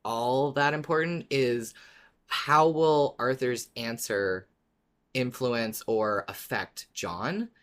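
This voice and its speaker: A podcast host with a medium-pitched voice. The talk is casual but thoughtful, laid out in a measured, even-handed way. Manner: reflective, measured, weighing both sides.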